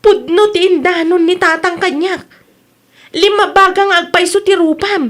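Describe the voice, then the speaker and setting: A woman's voice: very high-pitched, moderate pace, a bit bright. A woman with an Ilocano accent playing a concerned, nagging grown daughter in a radio comedy drama. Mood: worried, scolding. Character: concerned, scolding grown daughter who supports her parents.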